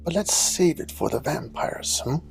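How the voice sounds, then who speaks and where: A man voicing a suave, devilish gentleman villain in a cartoon comedy, theatrical and drawling, with mock courtesy and a breathy, creaky voice. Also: on the high side, expressive, moderate pace, soft background music. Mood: smooth mock courtesy.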